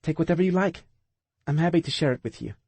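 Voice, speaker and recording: Man voicing a soft-spoken young woman. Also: on the high side, fast, slightly rough, dry recording, voice pushed up. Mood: reassuring.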